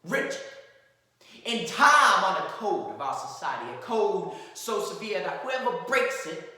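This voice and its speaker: A man's voice: high voice, very animated, bright, forceful tone, heavily acted. Man acting an impassioned defense lawyer, echoing hall. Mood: grave, building. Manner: emphatic oratory with dramatic pauses. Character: impassioned male defense lawyer pleading to a jury. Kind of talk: theatrical courtroom monologue. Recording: very echoey hall, far from the mic.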